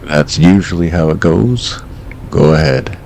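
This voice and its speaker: Casting: male actor as deep gravelly late-night radio host, calm, probing, low faint hiss behind. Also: measured pace. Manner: calm, probing short questions.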